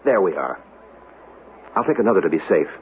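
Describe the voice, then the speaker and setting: An intense, fascinated amateur photographer in an old radio drama. His low voice is earnest and musing, with animated inflection. The sound is dull and lo-fi. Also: fairly quick. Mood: absorbed. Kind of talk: 1970s radio drama acting. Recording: dull lo-fi radio, faint noise.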